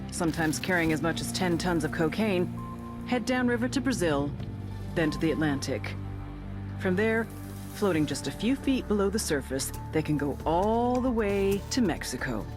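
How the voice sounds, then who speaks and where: An actress playing a tough, sardonic intelligence agent briefing operatives in a game, wry and punchy, with cynical bite. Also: female voice, fairly low pitch, expressive, moderate pace, lightly acted. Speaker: mission briefer. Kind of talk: scripted game cutscene narration. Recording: music bed under the voice.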